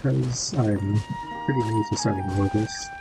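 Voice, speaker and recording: A man's voice: unhurried, vocal fry. A man giving casual, offhand gameplay commentary as a streamer on a built-in microphone. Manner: low-key, offhand. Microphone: built-in device microphone. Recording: built-in mic under loud game music.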